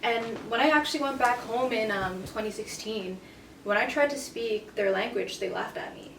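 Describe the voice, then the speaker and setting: A woman's voice: a little high, normal speed, gravelly, fairly bright tone. A young woman in a casual group discussion, talking hesitantly with many fillers. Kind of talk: casual chat. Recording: roomy, from a distance, faint noise.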